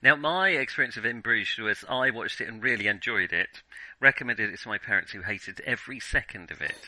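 Male podcast host, very bright and forceful tone, dry recording, hesitant and self-deprecating delivery, casual film talk. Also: fairly low pitch, a little fast, dry recording, poor audio.

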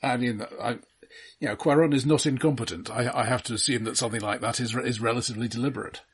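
A man's voice: medium pitch, steady tone, fast. A man in casual film discussion, offering analytical readings in a tentative way. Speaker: film discussion podcast co-host.

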